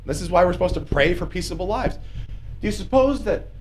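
A man's voice: sits high, animated, fairly quick, a little hiss or hum. A man preaching a sermon from the pulpit of a small church, emphatic and conversational, often checking in with "okay?". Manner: emphatic, rising to insistent peaks, asks the congregation "okay?".

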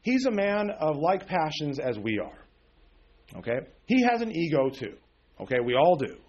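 A man's voice: on the high side, very animated, unhurried, darkish tone, husky, highs cut off. A man in his forties teaching a sermon on a Bible passage, with many fillers and restarts, often checking in with "okay?". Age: 40s. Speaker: preacher.

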